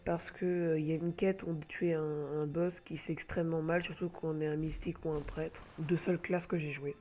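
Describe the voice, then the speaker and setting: A man's voice: a little high, little pitch movement, moderate pace, warm tone. Hesitant man with a cold. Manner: hesitant, rambling, full of filler sounds. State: has a cold. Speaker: gamer presenting an online game. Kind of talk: casual gameplay commentary. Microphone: home computer mic.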